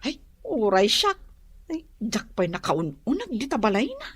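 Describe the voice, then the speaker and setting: A woman's voice: a little high, huge pitch swings, a little slow, husky, dry recording, full character voice. A woman with an Ilocano accent playing a polite young nursing student niece who cleans for work, in a radio drama. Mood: casual.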